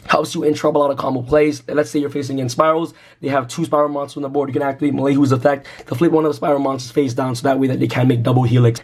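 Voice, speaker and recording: A young man with a raspy, worn voice from recent screaming, hosting a card game channel. He talks very quickly in a fairly high voice, hyped and salesy, calling the viewers "you guys" nonstop. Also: a little mellow. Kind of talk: excited deck profile talk to camera.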